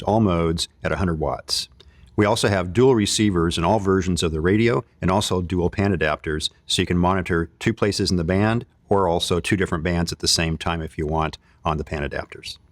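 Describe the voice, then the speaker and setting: A man's voice: sits low, a little fast, no echo. A man presenting technical equipment in an informal product demo, explaining it in a conversational way. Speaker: company presenter demonstrating radio equipment.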